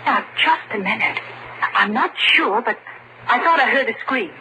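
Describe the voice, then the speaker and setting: A woman playing an anxious middle-aged American small-town mother, halting and distressed, in 1940s radio drama style. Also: on the high side, animated, close to an everyday voice. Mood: uneasy, bewildered. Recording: noisy old radio drama recording.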